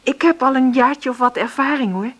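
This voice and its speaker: Anxious, husky-voiced woman. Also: high voice, normal speed. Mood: hesitant, polite. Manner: hesitant, well-spoken, anxious. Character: nervous, polite, hard-up woman job seeker answering calls. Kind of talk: radio play dialogue and monologue.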